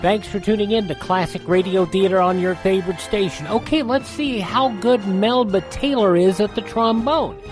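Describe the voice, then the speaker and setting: A man's voice: high voice, moderate pace, few pauses, music in the background. A man hosting a radio show, speaking in a folksy, conversational way as he reads promos and introductions.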